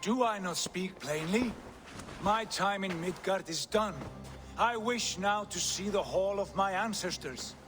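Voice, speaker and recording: Fervent high-voiced Viking noble. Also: male voice, a little slow. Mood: portentous. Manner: formal, portentous. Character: lofty, fervent Viking nobleman obsessed with glory. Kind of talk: video game cutscene acting. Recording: music clearly behind voice.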